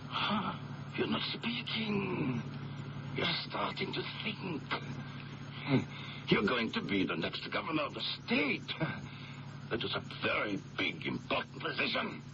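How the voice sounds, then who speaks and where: An actor playing a sly, menacing blackmailer, needling and falsely polite, in 1970s radio drama. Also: male voice, medium pitch, creaky, muffled recording, exaggerated voice. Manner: slow, needling, pointed questions. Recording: band-limited, noisy old radio recording.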